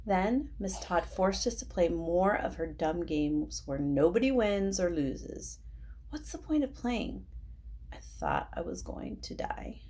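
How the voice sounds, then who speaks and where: Lady being a dramatic grade school boy in a storybook, slow and fed up, bit raspy. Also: on the low side, very animated, mellow, heavily acted. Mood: exasperated. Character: gifted young schoolboy narrator, indignant and dramatic.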